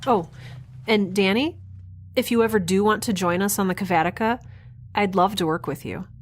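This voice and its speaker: A woman's voice: mid-range voice, normal speed, a little hiss or hum, lightly acted. A woman playing a defiant, wry young rebel on the run in a science fiction audio drama, chatty and given to sarcastic asides. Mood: casual, rambling.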